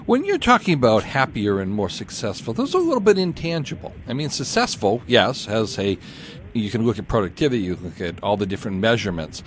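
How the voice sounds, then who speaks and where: A man hosting an interview show, introducing guests and asking them questions in a lively, sing-song way. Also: on the low side, fast talker, a little hiss or hum. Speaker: radio show host. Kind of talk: hosted business interview.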